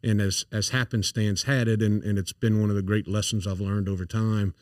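A man's voice: lowish voice, fairly even intonation, a little fast, a little mellow, no room sound. A man in his forties with a south Louisiana accent, a company founder talking casually as an interview guest, earnest and full of fillers and restarts. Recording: dry, a bit far from the mic.

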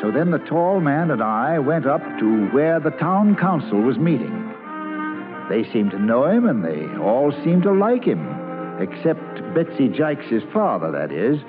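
A folksy American storyteller looks back on boyhood with wistful fondness and a very expressive pitch. It is old radio drama narration, muffled, with music clearly behind it. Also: male voice, unhurried. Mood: wry, reminiscent. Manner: folksy, wistful, plain-spoken. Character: grown man fondly narrating his boyhood among travelling actors.

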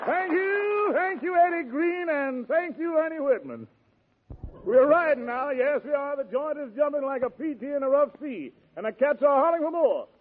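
A man's voice: very high voice, animated, normal speed, slight background noise. A man hosting a radio show in a 1940s jive announcing style. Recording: tinny old radio recording, audience behind.

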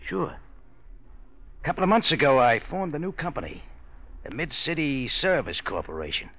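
An American actor playing a ruthless, smug gangster in a 1940s radio crime drama, gravelly, slangy and menacing. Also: male voice, a little high, big pitch swings, normal speed, fairly bright tone, heavily acted. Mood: sly, menacing. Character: ruthless, smug male gangster making a shakedown. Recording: muffled vintage radio recording.

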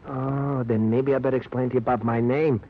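A man's voice: medium pitch, steady tone, a little fast, close to an everyday voice. Man playing fussy chatty little New shopkeeper. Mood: chatty, flustered. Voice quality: hesitant, wordy, mock-formal phrasing. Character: chatty, fussy, good-natured little male candy store owner. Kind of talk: 1940s-50s radio drama acting. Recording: muffled, noisy old radio recording.

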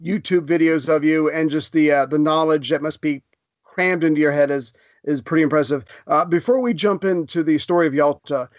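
A male co-host with a slightly high voice asks the guest about his life, polite and sprinkled with fillers. The sound is dry and a bit muffled, with no room in it. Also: brisk, no room sound. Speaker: podcast co-host. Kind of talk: casual interview question.

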